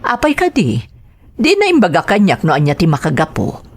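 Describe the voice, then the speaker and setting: A woman's voice: lowish voice, wildly swooping pitch, brisk, darkish tone, husky, full character voice. A woman with an Ilocano accent playing an anguished, pleading wife searching for her missing husband in a radio drama. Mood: earnest.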